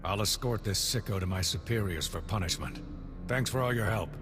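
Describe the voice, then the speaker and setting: An actor playing a shaken rescued military captain in a video game, earnest and plain-spoken. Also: male voice, lowish voice, fairly quick, in a large hall, over music, natural voice. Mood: subdued, resolved. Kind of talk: scripted video game cutscene acting.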